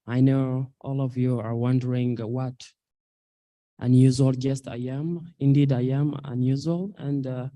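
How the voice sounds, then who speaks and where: A man in his twenties from South Sudan, an activist speaking earnestly at a public talk, with halting delivery and many fillers. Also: mid-range voice, level tone, mellow, dry recording, dull audio. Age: 20s. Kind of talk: personal testimony at a public talk.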